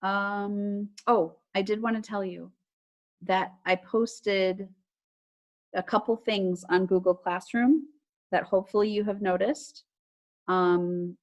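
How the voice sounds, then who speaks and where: Woman teacher addressing a young class. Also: mid-range voice. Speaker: elementary school teacher. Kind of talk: read-aloud storytelling and casual announcements to pupils. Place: remote online class session.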